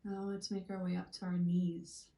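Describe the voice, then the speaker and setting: A woman leading a gentle guided meditation in a soothing, deliberate manner, leaving long pauses. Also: on the low side, level tone. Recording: roomy, echoey sound.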